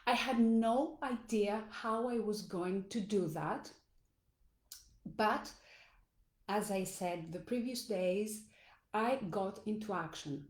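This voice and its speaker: A woman's voice: fairly high pitch, darkish tone, echoey room. A woman with a Greek accent, speaking English as a second language, gives an earnest motivational talk as a life coach. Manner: earnest and deliberate, with small restarts. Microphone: phone or camera in a live stream.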